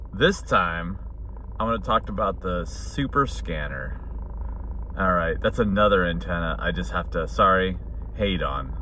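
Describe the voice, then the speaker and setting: Low-voiced man, car noise behind. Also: pushed and bright. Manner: blunt, informal. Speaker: hobbyist radio vlogger. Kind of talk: casual opinionated vlog chat. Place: inside a car. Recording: clear vehicle noise behind voice.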